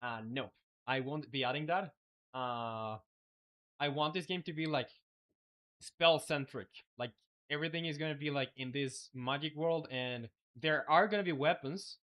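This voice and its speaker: A man's voice: a little high, normal speed, highs cut off. A young man from Chile whose first language is Spanish, talking casually and thinking aloud while building his game on a live stream. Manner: relaxed, thinking aloud with many fillers.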